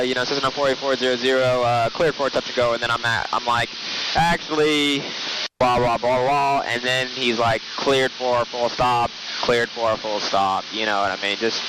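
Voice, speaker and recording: A man giving casual running commentary as a pilot, explaining each step informally from the noisy cockpit of a small airplane. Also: mid-range voice. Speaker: pilot narrating flight. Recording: noisy small aircraft cockpit, engine drone.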